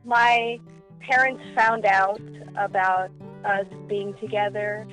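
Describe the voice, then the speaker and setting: A woman's voice: medium pitch, fairly even intonation, slow, a bit bright, faint music behind, low-quality recording. A woman being interviewed, giving a personal account.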